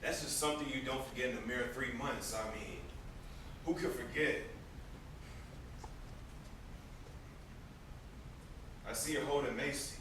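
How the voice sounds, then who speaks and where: A man's voice: medium pitch, fairly quick, close to an everyday voice. A man acting on stage as a teasing but protective older brother, with a sarcastic, needling, theatrical delivery. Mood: gentle. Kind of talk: amateur stage play acting. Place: indoor stage, recorded from afar. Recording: echoey stage, distant noisy camera microphone.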